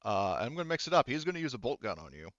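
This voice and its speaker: A man's voice: mid-range voice, big pitch swings, racing, a bit bright, no room sound, lo-fi sound. A man acting as game master, narrating and refereeing a tabletop roleplaying game in an informal, hesitant, think-aloud way.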